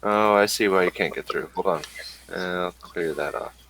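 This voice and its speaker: A man running a tabletop game as game master, describing scenes slowly while he works them out. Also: sits low, fairly bright tone.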